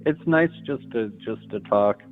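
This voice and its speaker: A man calling into a livestream, soft-spoken and hesitant, stammering a little as he talks. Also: mid-range voice, unhurried, faint music behind. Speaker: caller. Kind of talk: casual call-in chat. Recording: tinny phone-like call audio.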